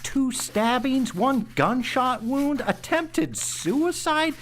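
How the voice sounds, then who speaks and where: A man's voice: very high voice, animated, slow, fairly bright tone, slight background noise, heavily acted. A man playing a flustered, folksy small-town police officer in an audio drama, with an exasperated manner.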